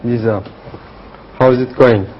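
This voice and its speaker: A man's voice: medium pitch, steady tone, measured pace, breathy edge. A man playing a young English student from Turkey, speaking careful simple sentences in a scripted classroom dialogue. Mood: easygoing, careful. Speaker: language student. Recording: slightly dull lo-fi audio.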